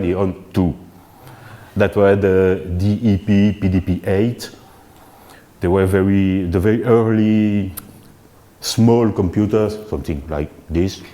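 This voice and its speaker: Man giving a relaxed talk. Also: low voice. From Belgium. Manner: relaxed, self-deprecating, with frequent restarts. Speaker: keynote speaker. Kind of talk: live talk at a synth meetup. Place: event venue before an audience.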